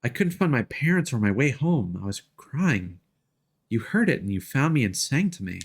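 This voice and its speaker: Man voicing an earnest, dreamy young girl, lifted pitch. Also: big pitch swings, moderate pace, slightly rough. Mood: wistful, earnest. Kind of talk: reading game dialogue aloud in character.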